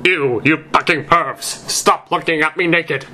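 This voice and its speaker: A man's voice: fairly high pitch, measured pace, pushed and bright, slight background noise, pitched above the performer's own voice. A man voicing a melodramatic, indignant teenage goth girl in a story reading. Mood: outraged disgust.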